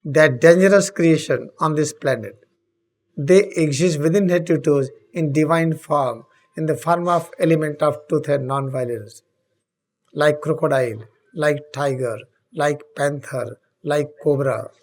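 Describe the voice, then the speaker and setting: A man with an Indian accent leads a guided meditation, speaking solemnly and deliberately in short phrases with long pauses. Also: fairly high pitch, a little mellow. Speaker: spiritual teacher leading guided meditation.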